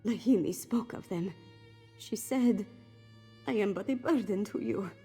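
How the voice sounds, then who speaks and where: A woman voicing a worried, grieving cat-person mother in a fantasy video game, with a husky, plaintive delivery. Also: medium pitch, a little slow, dark tone, soft background music. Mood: worried, pleading. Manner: plaintive, speaks of herself in third person. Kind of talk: scripted video game dialogue.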